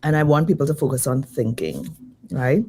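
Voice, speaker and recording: A woman design educator, slightly croaky from jet lag, answering interview questions in a lively, thoughtful way. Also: rumbling low, moderate pace, slight background noise. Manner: lively, thoughtful, many fillers.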